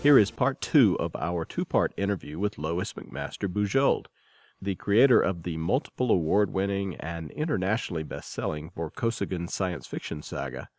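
Low-voiced man hosting a podcast. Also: dry recording, studio quality. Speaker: podcast host and editor. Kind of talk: scripted podcast narration.

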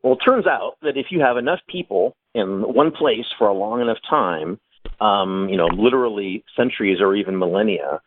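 Low-voiced man on a phone line. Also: lively intonation, moderate pace, slight background noise. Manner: explanatory, thoughtful, many fillers. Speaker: author guest. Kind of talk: casual panel discussion.

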